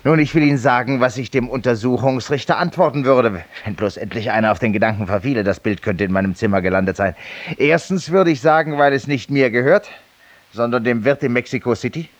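An actor playing a feverish, weary, self-styled judge-penitent in a 1950s radio drama, confiding ironically and theatrically in a silent listener. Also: male voice, medium pitch, a little fast, dry recording, lightly acted. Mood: amused, mocking. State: feverish and tired, with coughs.

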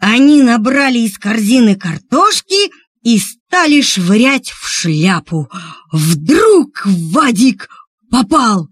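A woman narrating a scripted children's story in an expressive, theatrical manner with drawn-out emphasis. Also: fairly high pitch, breathy edge, no echo.

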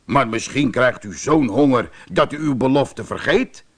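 A man's voice: mid-range voice, a little slow, slightly rough, exaggerated voice. Een man die een slimme rijstboer in een sprookje speelt, onderdanig beleefd en listig. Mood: persuasive. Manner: deferential, polite, calmly cunning. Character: shrewd rice farmer, humbly courteous to a tiger. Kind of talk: storybook character voice.

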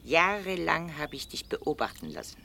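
An actress playing an older, haughty aunt in a 1960s German radio play, commanding, accusing and unbending, with clipped delivery. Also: female voice, low-pitched, big pitch swings, normal speed, gravelly, full character voice. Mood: stern, threatening. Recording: noisy vintage radio play recording.

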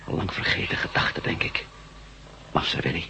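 A man playing a withdrawn, worn-out former astronaut in a radio drama, answering tersely and apathetically in a gravelly voice. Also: fairly quick, background noise. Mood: dazed, brooding. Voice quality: very deep, gravelly. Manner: terse, apathetic, flat replies. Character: weary, withdrawn male former astronaut enslaved as labourer.

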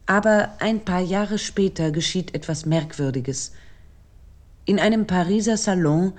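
Low-pitched, mellow woman lecturing on literature. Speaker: literary commentator.

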